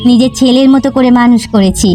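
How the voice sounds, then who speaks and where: A woman's voice: a little high, quick pace, dark tone, faint music behind, natural voice. A woman voicing an emotional, devoted village mother in a cartoon. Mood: fond reminiscence. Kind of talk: cartoon dub acting.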